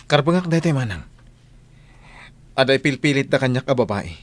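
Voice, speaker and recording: A man's voice: medium pitch, expressive, normal speed, close to an everyday voice. A man playing a determined, earnest young employer in a radio drama, with an Ilocano accent. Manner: resolute, earnest. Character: determined young gentleman employer defying his mother.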